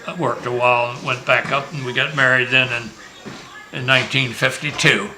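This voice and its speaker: This older man from Maryland has a bright, forward voice and reminisces at length. He rambles through his stories with restarts and the odd joke, the way a grandfather talks at a family gathering. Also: medium pitch, steady tone, fairly quick, faint music behind, low-quality recording. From Maryland, USA. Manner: rambling, joking storyteller with many restarts. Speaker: grandfather being interviewed. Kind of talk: casual family interview, reminiscing. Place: family home at a reunion.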